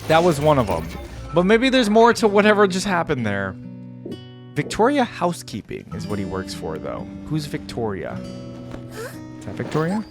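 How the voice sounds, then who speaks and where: A man hosting a reaction video with casual, slangy commentary and excitable exclamations. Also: fairly high pitch, moderate pace. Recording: music playing behind the voice. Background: game trailer music.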